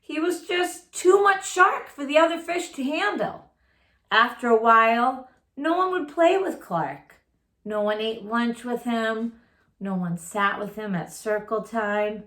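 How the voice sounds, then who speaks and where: A woman's voice: a little high, expressive, unhurried, a bit bright, echoey room. A woman reading a children's storybook aloud like a teacher, expressively, talking directly to her young listeners.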